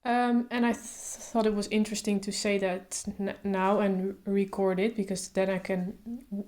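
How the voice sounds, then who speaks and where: Woman who stutters, flat even voice. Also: mid-range voice, moderate pace. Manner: stutters openly with blocks and repeated sounds; calm, reflective, thinks aloud with fillers. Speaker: vlogger. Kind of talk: casual talk to camera.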